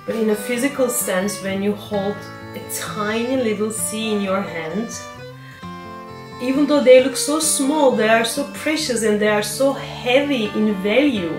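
Turkish-accented woman farmer chatting slowly, roomy echo, music playing clear behind her. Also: a little high. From Turkey. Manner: thoughtful, with hesitations and fillers. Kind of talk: casual interview.

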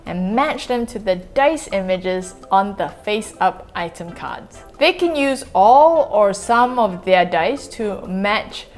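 A woman in her forties from Singapore, hosting a family board game channel with clear, measured, upbeat scripted narration recorded in a living room. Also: medium pitch, lively intonation, soft background music. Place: home living room, indoors.

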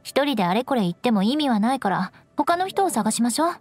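An actress playing a proud, stoic young noblewoman knight in an anime game, speaking in a composed, haughty, measured way. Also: fairly high pitch, big pitch swings, faint music behind. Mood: cool, haughty. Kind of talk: Japanese anime game voice acting.

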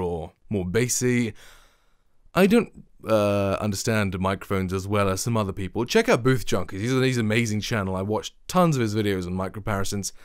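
A young man talking casually to camera in a small home studio, rambling and correcting himself as he goes, with a deep voice, close on a studio mic. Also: dry recording. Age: young adult. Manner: chatty, rambling, self-correcting. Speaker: vlogger comparing microphones. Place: small treated home studio with acoustic foam. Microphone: studio condenser and shotgun mics close up, reflection filter.

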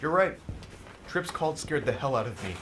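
A man's voice: medium pitch, very animated, moderate pace, background noise, natural voice. An actor playing a resigned, earnest man on the run, pleading with his lover in an audio drama. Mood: earnest, confessional.

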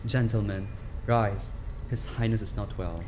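Casting: male actor as deferential tragic-drama lord, lowish voice, formal, very unhurried, noisy recording. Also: darkish tone, lightly acted. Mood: concerned, courteous. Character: deferential lord attending a troubled king. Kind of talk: dramatic reading of Shakespearean tragedy.

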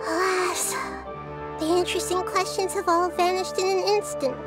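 A woman voicing a childlike goddess in a video game, soft-spoken and reflective, speaking in analogies. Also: very high voice, little pitch movement, normal speed, loud music behind the voice. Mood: gentle. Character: childlike girl goddess, gentle, calm and thoughtful.

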